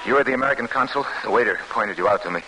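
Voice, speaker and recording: A man's voice: medium pitch, racing, pushed and bright, a little hiss or hum, natural voice, poor audio. A man playing a weary, earnest and determined young refugee in a 1940s American radio drama. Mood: weary, urgent. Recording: old radio recording, faint music.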